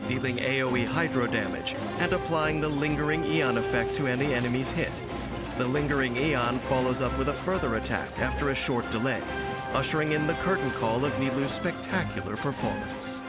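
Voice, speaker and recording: An actor playing a grave, world-weary warrior who narrates a game trailer in formal, measured, philosophical phrases. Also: male voice, mid-range voice, natural voice. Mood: measured, instructive. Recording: music behind the voice. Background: instrumental game trailer music.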